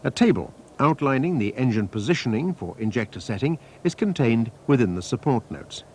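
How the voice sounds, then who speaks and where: A man reading a scripted technical training film narration in a measured, precise, instructional manner. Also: mid-range voice, lively intonation, darkish tone. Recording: noisy archive training-film soundtrack.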